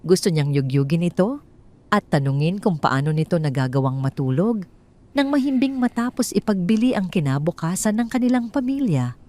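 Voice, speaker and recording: A woman's voice: fairly low pitch, animated, normal speed, darkish tone. A woman reading a scripted dramatic story aloud as its narrator.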